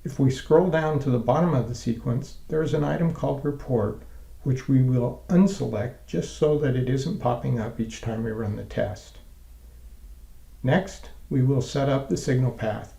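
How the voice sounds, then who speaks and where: A man narrating a scripted software tutorial in a measured, methodical, step-by-step way. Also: mid-range voice, vocal fry, reverberant.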